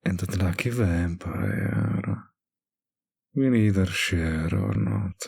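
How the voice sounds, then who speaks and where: A man voicing a suave, world-weary, teasing vampire bartender in an intimate audio roleplay, husky and languid, with hesitant pauses. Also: sits low, a little mellow, heavily acted. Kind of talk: intimate scripted roleplay addressed to the listener.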